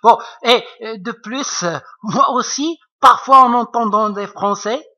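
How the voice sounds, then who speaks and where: Slow Arabic-accented male teacher. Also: very high-pitched, sharp tone, muffled recording. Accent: Arabic-accented French. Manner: patient, repetitive, checks with frequent asides. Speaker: French literature teacher. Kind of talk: classroom explanation.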